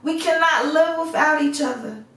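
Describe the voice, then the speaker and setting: Woman acting a high-pitched, imploring fairy-tale heroine. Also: normal speed, fairly bright tone. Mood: desperate, loving. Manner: imploring, emotional. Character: devoted young woman heroine, tender and desperate. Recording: echoey room, distant mic.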